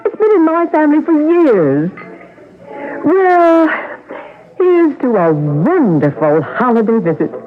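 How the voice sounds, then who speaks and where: Cheerful older mother, lively high voice. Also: takes it slowly, heavily acted. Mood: cheerful, festive. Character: cheerful hospitable older mother hosting Christmas. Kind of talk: radio drama acting. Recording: tinny old radio broadcast, music behind.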